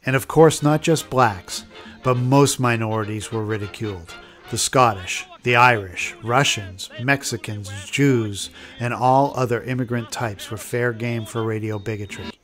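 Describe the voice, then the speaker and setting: A man's voice: mid-range voice, measured pace, music in the background. Male documentary narrator, scripted delivery.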